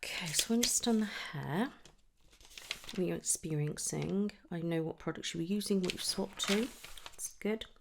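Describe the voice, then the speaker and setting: A soft-spoken woman in an ASMR medical roleplay, gently asking questions in a breathy voice that often slips towards a whisper. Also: fairly low pitch, noisy background. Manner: gentle, unhurried questioning with pauses for answers. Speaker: ASMR performer playing a trichologist.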